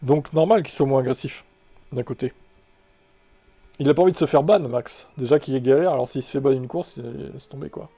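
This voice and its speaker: A man's voice: medium pitch, fairly quick, gravelly, mellow, husky. A man in his thirties with a French accent, chatting casually on a live stream and answering viewer comments as he goes. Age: 30s. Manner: conversational, reacting to chat with asides and fillers.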